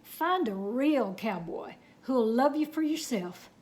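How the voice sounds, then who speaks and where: Woman playing a hushed, slow old rancher. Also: sits high, animated. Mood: tender, earnest. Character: dying old Texas rancher father, tender, advising.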